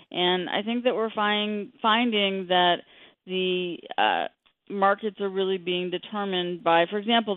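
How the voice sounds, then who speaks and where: Phone-line guest: middle-aged female expert, explanatory and measured, dry sound with narrow bandwidth. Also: lowish voice, dry recording. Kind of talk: radio interview, explanatory talk.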